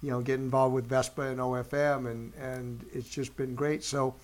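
A man hosting an interview, asking questions in a halting way with many stumbles and restarts. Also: medium pitch, little pitch movement. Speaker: interviewer host. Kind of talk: casual interview chat.

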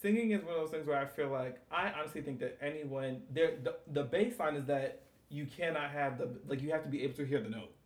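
A man's voice: fairly high pitch, fast talker, reverberant. A man in casual conversation, playful and laughing often as he riffs on everyday topics. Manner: playful, laughing, animated storytelling. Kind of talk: casual chat.

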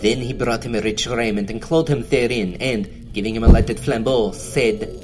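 A man narrating an audio drama in measured storytelling tones with archaic phrasing. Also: mid-range voice, over music.

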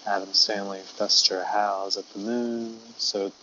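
A man playing a deadpan male ghost-tour guide who talks low and quietly, rambling with lots of fillers. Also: measured pace, dull audio, slight background noise.

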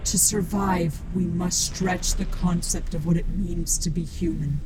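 A woman's voice: lowish voice, steady tone, unhurried, music in the background, natural voice, rough recording. A woman playing a cold, grandiose cyborg priestess in an audio drama, speaking in a solemn, declamatory manner.

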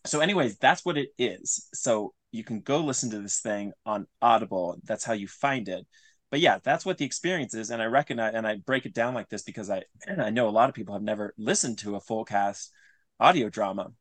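A man's voice: lowish voice, lively intonation, fairly quick, no room sound. A man speaking as an interview guest, explaining things earnestly in a conversational way and often restating his phrases. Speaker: interview guest, audio drama creator.

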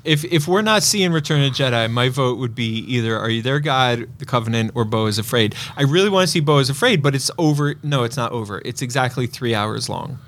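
A man's voice: medium pitch, animated, a little fast, few pauses, a bit bright. A man co-hosting a casual movie podcast, joking around with playful exaggeration. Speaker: podcast co-host; movie theater operator.

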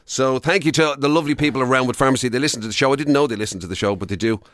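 A man's voice: medium pitch, very fast, a bit bright, no echo, studio quality. An Irish man co-hosting a radio show in casual comic banter, chatty and playfully boastful.

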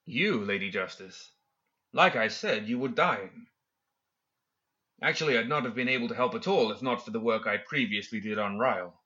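A man's voice: on the low side, moderate pace, sharp tone, lo-fi sound. A man voicing a smug, always-controlled professor villain in an audiobook, speaking with formal, mocking courtesy. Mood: politely mocking. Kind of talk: audiobook character acting.

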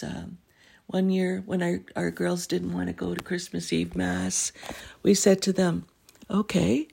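A middle-aged woman from Canada, hosting a podcast and telling a family story in casual chat, clearing her throat as she loses her voice. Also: fairly low pitch, mellow. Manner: laughing, self-deprecating jokes. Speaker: podcast host.

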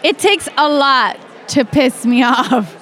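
A woman's voice: high voice, slow pace, a bit bright. A woman in her thirties, chatting as a guest on a talk show and laughing often in a frank, playful way. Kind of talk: casual chat.

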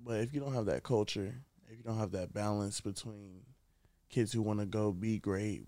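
A man's voice: lowish voice, fairly even intonation, moderate pace, warm tone, no room sound. A nineteen-year-old man, an athlete chatting casually and informally as a podcast guest. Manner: slangy, informal. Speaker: podcast guest, college football wide receiver. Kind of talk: casual chat. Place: inside a truck.

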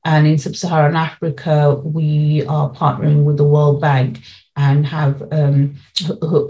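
A woman giving explanatory interview answers as a policy lead, laying out points in measured, formal terms. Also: deep voice, mellow, rough recording.

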